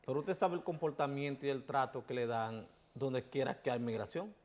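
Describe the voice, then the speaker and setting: A man's voice: medium pitch, moderate pace, darkish tone. A male talk show host with a mid-range voice speaks in short replies. He agrees briefly with his guest, then offers his own counterpoints.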